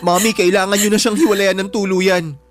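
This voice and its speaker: A man's voice: high voice, steady tone, brisk, faint music behind, lightly acted. A man playing a vulnerable, pleading young son with a Filipino accent in an emotional radio drama. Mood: frightened, pleading. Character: frightened, pleading young son and little brother.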